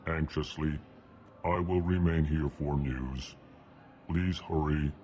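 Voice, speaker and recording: Very deep, ponderous alien diplomat. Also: male voice, slow, darkish tone, slight background noise. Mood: solemn pleading. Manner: ponderous, announces each emotion before speaking. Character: huge solemn elcor alien diplomat, pleading for his people. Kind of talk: deadpan video game voice acting.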